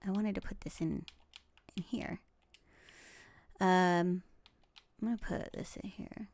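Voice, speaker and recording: Slow, gravelly-voiced woman streamer. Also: lowish voice, fairly even intonation, dry recording. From Los Angeles, United States. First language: Tagalog. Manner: rambling and chatty, with fillers, soft laughs and whispered asides. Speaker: game streamer. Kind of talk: casual gameplay commentary.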